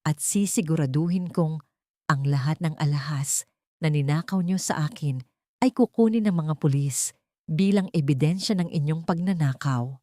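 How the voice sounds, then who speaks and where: A woman voicing a cold, vengeful young mother turned heiress in an audio story, calm, commanding and menacing. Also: low voice, normal speed, no room sound, studio quality, close to an everyday voice. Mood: steely ultimatum.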